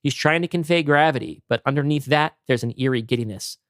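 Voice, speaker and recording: A man's voice: mid-range voice, fast talker, fairly bright tone, smooth, no room sound, studio quality. A man co-hosting a podcast, talking casually and narrating a script he wrote. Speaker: podcast co-host and writer.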